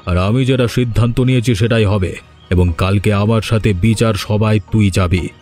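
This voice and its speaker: Man acting low, stern village landlord. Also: fairly even intonation, normal speed, darkish tone, faint music behind, close to an everyday voice. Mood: stern, threatening. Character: stern, domineering village landlord, male, proud but remorseful. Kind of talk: Bengali cartoon drama dialogue.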